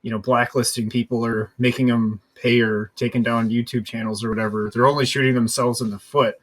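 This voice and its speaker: A man's voice: medium pitch, level tone, brisk. Agreeable man chatting on a podcast. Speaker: podcast co-host. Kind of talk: casual discussion.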